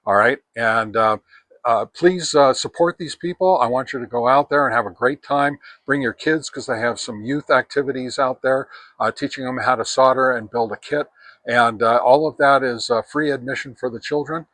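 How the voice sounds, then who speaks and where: A man with an American accent and a mid-range voice hosts a hands-on review. He speaks in a plain, folksy, somewhat halting manner. The sound is dry, with very little room around the voice. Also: mid-range voice, a bit bright, no room sound. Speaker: host of a ham radio gear review channel. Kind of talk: casual hands-on product review talk.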